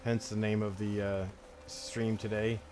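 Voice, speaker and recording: A man's voice: on the low side, flat delivery, measured pace, darkish tone, a little hiss or hum. A man streaming a roleplay game, chatting in an easygoing, laughing way. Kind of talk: casual stream chat.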